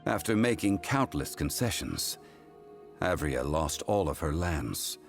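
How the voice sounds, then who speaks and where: A man voicing a calm, formal, ancient gentleman who speaks in measured, deliberate sentences in a video game. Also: extremely low pitch, vocal fry, faint music behind, heavily acted. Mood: stern. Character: ancient, composed male consultant of contracts.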